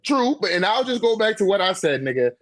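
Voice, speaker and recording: A man's voice: sits high, big pitch swings, brisk, dry recording. A man in casual debate, arguing his points in slangy, profane language. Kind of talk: casual debate about a manga tier list.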